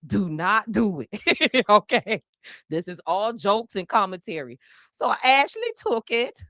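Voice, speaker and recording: A woman's voice: mid-range voice, big pitch swings, slow, fairly bright tone, dry recording. A woman hosting a casual political commentary livestream, chatty and teasing, who swears freely and laughs often. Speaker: podcast host.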